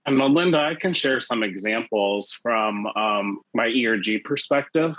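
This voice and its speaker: A man's voice: medium pitch, lively intonation, normal speed, fairly bright tone, dry recording, rough recording. A man who leads an employee resource group, sharing workplace examples on a panel in an earnest way with frequent "you know". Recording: dry close sound.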